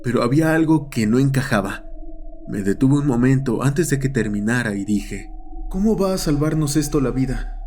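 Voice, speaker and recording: A man plays a grandson recalling a dark tale as its narrator. He speaks soberly and deliberately at a middling pitch. Soft music sits behind the voice. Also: lively intonation, close to an everyday voice. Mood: sober, uneasy. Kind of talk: scripted horror story narration.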